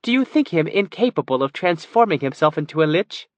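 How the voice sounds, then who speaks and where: A man's voice: on the high side, expressive, moderate pace, fairly bright tone, dry recording, voice pushed up. A man voicing a proud, stern female elf wizard who speaks in a commanding, cryptic way. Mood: urgent.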